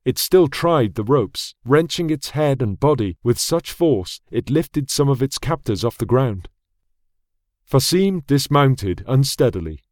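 A man's voice: medium pitch, animated, unhurried, a little mellow, dry recording, studio quality. Male narrator reading a fantasy audiobook.

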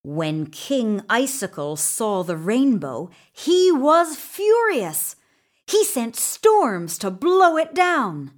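A woman reading a children's story in an expressive, deliberate way with dramatic pauses. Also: fairly high pitch. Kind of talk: scripted children's story narration.

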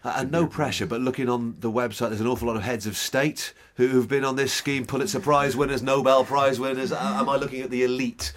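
A man's voice: mid-range voice, fairly even intonation, a little fast, hardly any pauses. A man hosting a radio interview in a studio, chatty and self-deprecating, often repeating words as he goes. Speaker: radio host. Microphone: studio microphone.

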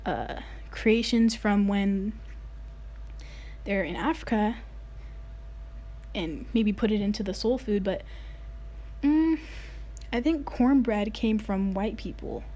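A woman's voice: medium pitch, slow, pauses often, mellow. A woman in her late teens from the United States, earnestly telling a personal story in a casual way, with many "like" fillers. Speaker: vlogger.

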